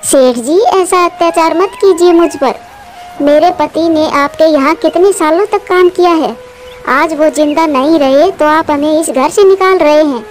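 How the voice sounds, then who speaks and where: Woman as a high, plaintive mother bird. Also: measured pace, faint music behind. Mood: pleading. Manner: plaintive, humble. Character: poor, pleading widowed mother bird. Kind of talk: Hindi cartoon dialogue.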